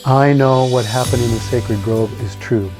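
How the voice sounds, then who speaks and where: A man's voice: medium pitch, fairly even intonation, normal speed, music in the background. Earnest man giving emphatic testimony. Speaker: presenter giving personal religious testimony.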